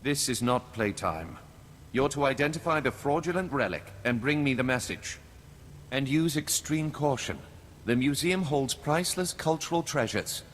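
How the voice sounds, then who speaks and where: A man's voice: medium pitch, expressive, moderate pace, close to an everyday voice. A man playing a stern, aristocratic spymaster in a video game, giving orders in a formal, commanding manner. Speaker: character actor in a video game. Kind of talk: scripted game dialogue. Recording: long hall reverb, faint noise.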